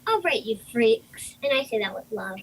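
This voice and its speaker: A woman's voice: on the high side, sing-song, normal speed, husky, rough recording. A woman playing a bossy, precocious nine-year-old girl in an amateur audio play, with a commanding, matter-of-fact delivery. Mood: bossy, brisk.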